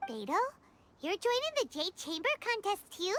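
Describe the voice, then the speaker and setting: A woman voicing a tiny, excitable, childlike fairy companion in a video game, squeaky and curious. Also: moderate pace, background noise. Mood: surprised, curious. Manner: exclaiming, curious, refers to self in third person. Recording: background music under the voice.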